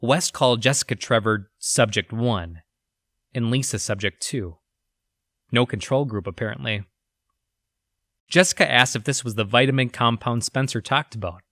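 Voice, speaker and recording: A man playing a troubled, guilt-ridden researcher narrating his journal in an audio drama, somber, confessional and halting. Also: medium pitch, a bit bright, dry recording, studio quality, lightly acted. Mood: somber, uneasy. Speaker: voice actor.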